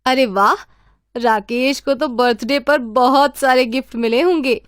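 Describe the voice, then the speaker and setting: A woman voicing a friendly, casual young woman in an animated horror story. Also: high-pitched, unhurried, no room sound, studio quality. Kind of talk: animated story acting, conversational.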